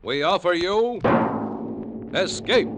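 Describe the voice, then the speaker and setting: A man's voice: high voice, lively intonation, slow, music in the background. An American man announcing a show and its sponsor in polished, declamatory 1940s radio style.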